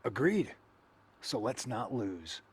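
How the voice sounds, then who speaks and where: A man's voice: mid-range voice, very animated, slow pace, breathy edge, heavily acted. A man playing a determined, indignant wrestler bent on revenge in scripted video game dialogue.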